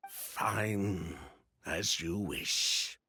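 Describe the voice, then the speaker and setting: A man's voice: sits low, pushed and bright, husky, exaggerated voice. An actor playing a jaded, defensive middle-aged man in a video game, talking in a weary, wry way. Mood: resigned. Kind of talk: scripted video game dialogue.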